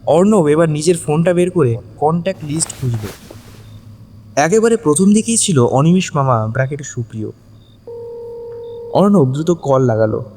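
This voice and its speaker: A man's voice: on the high side, lively intonation, normal speed, long pauses, warm tone, a little hiss or hum. A man narrating a scripted story in a dramatic style, as in an audio drama. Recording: long hall reverb on voice. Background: music.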